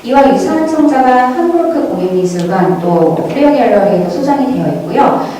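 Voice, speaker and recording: A woman's voice: sits high, animated, moderate pace, mellow. A woman giving a formal prepared academic presentation, recorded from a distance in a very echoey hall with faint noise. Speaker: academic presenter at a museum conference. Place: large echoing indoor hall at a conference.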